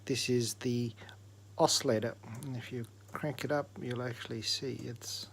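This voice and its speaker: Male presenter, informal hands-on tech demo, explains methodically in a mid-pitched voice. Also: steady tone, moderate pace, a little hiss or hum. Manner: explanatory, step by step. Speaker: presenter of an electronics repair demo. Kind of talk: informal technical demonstration.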